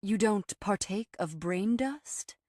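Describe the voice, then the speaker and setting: A woman narrator plays a humorless, purist health-food woman in a storybook reading. She speaks slowly and preciously, with airy earnestness and a haughty edge. The sound is close and dry. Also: medium pitch, animated, a little mellow, no room sound, pitched above the performer's own voice. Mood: incredulous. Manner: haughty, precious, drawn-out phrasing.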